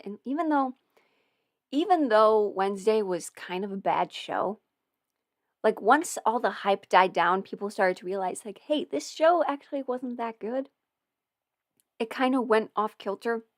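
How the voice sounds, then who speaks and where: A woman's voice: mid-range voice, no room sound. Chatty woman with a dry close sound. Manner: chatty, rambling, laughs often, swears casually. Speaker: audio performer answering viewer questions. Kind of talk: casual livestream chat.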